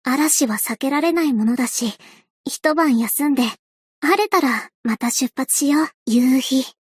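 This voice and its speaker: A gentle young woman aviator speaks in a high, light voice, soft and reassuring. Her delivery stays calm and kind, the tone of a game character. The recording is close and completely dry, with no room around it. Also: a little slow, dry recording. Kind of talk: anime-style game voice acting. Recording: dry studio booth.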